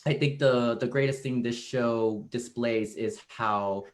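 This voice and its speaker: Halting male stage director, mid-range voice. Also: mid-range voice, steady tone. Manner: halting, searching for words, thoughtful. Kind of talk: casual post-show discussion.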